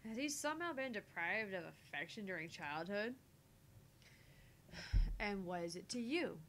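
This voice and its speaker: A woman's voice: expressive, moderate pace, a bit bright. A woman voicing a shy, easily embarrassed young woman in a visual novel, defensive and exasperated. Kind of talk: voice-acting visual novel dialogue and inner monologue. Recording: faint background rumble and noise.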